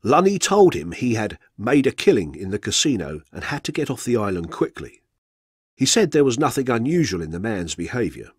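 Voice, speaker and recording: Male radio narrator, true-crime script: measured, factual, husky edge, dry studio sound. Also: mid-range voice, big pitch swings, dry recording.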